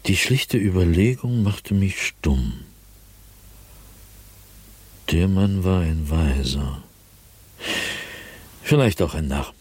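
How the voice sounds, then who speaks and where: An actor playing a tormented man sliding into madness, recounting his nightmares as a diary in a radio drama, in a brooding, halting manner that swings between hushed and agitated. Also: deep voice, animated, breathy edge, no room sound, full character voice. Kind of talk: dramatic radio play monologue.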